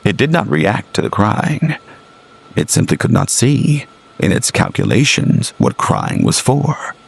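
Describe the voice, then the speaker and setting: A man narrating a scripted gothic tale in a grave, deliberate manner. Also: mid-range voice, huge pitch swings, vocal fry, husky.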